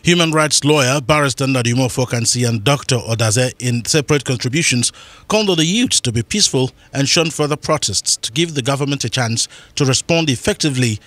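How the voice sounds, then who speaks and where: A male Nigerian news presenter reads from a script in a mid-pitched voice. The sound is dry, with very little room around it. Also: a little fast, a bit bright, no room sound.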